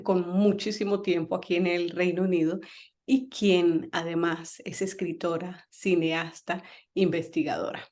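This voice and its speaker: A woman hosts a talk show, her voice sitting on the low side. She talks in a lively, chatty way, stringing questions together with fillers. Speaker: host. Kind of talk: radio talk show interview.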